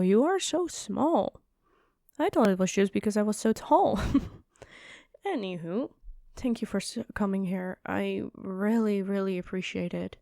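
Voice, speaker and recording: A woman playing a gentle, earnest giant who speaks politely and apologetically in a fantasy audio roleplay. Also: mid-range voice, creaky, no echo, clean studio sound. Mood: careful, amazed, then grateful, relaxed.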